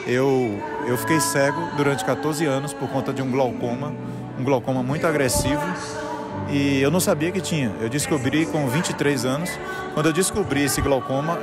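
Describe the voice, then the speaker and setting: A middle-aged man from Salvador, earnestly telling his personal story in an interview and often restarting his phrases. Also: mid-range voice. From Salvador, Brazil. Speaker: guest, musician and conductor. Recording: music playing behind the voice.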